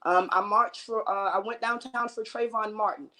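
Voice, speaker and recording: A woman's voice: medium pitch, fairly even intonation, moderate pace, bright, forceful tone. An American woman in her late thirties speaking casually and with passion as an interview guest and activist. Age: late 30s. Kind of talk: casual, impassioned conversation.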